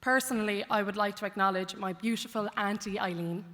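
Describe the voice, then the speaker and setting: A woman's voice: mid-range voice, fairly quick, a bit bright. A young woman in her twenties giving a heartfelt graduation speech at a lectern, with light jokes. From Ireland. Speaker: graduate speaker. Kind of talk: commencement speech. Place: large concert hall. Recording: long hall reverb.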